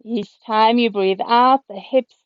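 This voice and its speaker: Slightly hoarse woman guiding a yoga class. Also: a little high, a little slow, dry recording. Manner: calm, steady cueing of breath and movement. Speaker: yoga teacher. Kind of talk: guided yoga instruction. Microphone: computer mic on a video call. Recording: dull, lo-fi video call audio.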